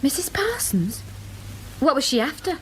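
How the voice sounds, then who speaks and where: An actress playing a loyal, no-nonsense English chip shop worker in a radio drama, plain-spoken and affectionate. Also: female voice, sits high, big pitch swings, moderate pace, a little hiss or hum, poor audio. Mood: curious, indignant. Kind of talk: British radio drama acting.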